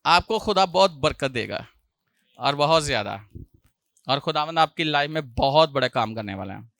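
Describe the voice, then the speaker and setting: A male pastor, preaching a sermon with a sore throat, often clearing it and rising loudly on hallelujah. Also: fairly high pitch, lively intonation, normal speed, no echo, studio quality. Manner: preaching with loud rises on hallelujah and jokey asides.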